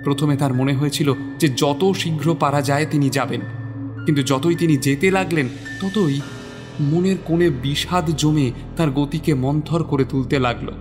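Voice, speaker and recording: A man narrates a dramatic literary audio story. His voice is a little high for a man, measured and expressive, with music clearly playing behind it.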